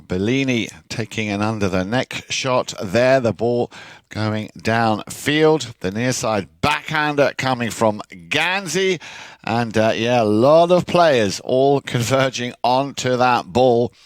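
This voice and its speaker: Man, polo play-by-play, measured delivery, dry close sound. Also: medium pitch, dry recording. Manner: steady, measured, with frequent uh fillers. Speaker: polo commentator. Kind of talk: sports play-by-play commentary.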